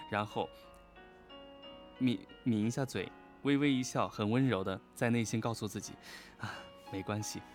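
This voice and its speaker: A man chatting casually as a livestream guest, addressing listeners directly with questions. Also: fairly low pitch. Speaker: guest on an audio drama livestream.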